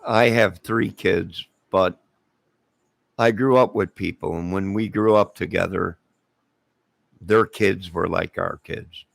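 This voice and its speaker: A middle-aged man with a very low, deeply gravelly voice, worn hoarse from singing. He drawls slowly and rambles loosely through a late-night livestream, greeting his viewers one at a time. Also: fairly even intonation, dry recording. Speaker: livestream host. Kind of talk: late-night casual live chat.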